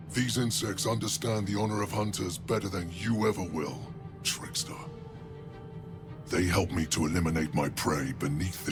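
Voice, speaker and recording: Game creature: honour-bound hunter, deep, solemn, contemptuous, over a clear score. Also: male voice. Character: proud, grim hunter monster, honour-bound. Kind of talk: dramatic video game acting.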